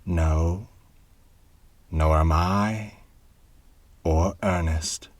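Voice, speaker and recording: A man's voice: deep voice, measured pace, no echo. A man playing a firm, commanding dwarf. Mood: menacing. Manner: calm, firm, menacing. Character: shrewd, commanding male dwarf guardian.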